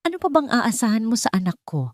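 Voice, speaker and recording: A woman's voice: on the high side, sing-song, fast talker, dry recording, clean studio sound, pitched above the performer's own voice. A woman voicing a cold, wealthy older mother-in-law who scolds with contempt in an audio drama. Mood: insulting, haughty.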